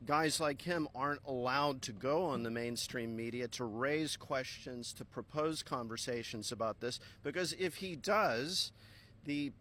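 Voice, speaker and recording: A man being interviewed, speaking with force and insistence as he presses each point. His voice is bright and cutting at an ordinary pitch. Faint background noise hums under him. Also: measured pace. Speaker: interview guest. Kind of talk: emphatic interview answers.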